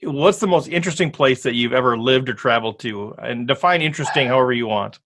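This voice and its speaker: A man's voice: mid-range voice, fast, fairly bright tone. A man hosting a podcast interview, chatty and upbeat, laughing easily as he talks. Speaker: podcast host. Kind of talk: casual interview chat.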